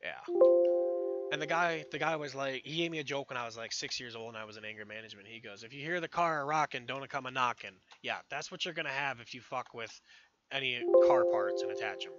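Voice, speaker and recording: A man giving a casual, rambling, profane tutorial commentary while hoarse from losing his voice. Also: mid-range voice, fairly quick, highs cut off, loud music behind the voice. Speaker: modding tutorial streamer.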